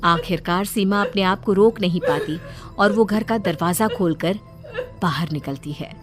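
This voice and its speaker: A woman's voice: fairly low pitch, measured pace, over music. A woman reading a scripted horror story as its narrator.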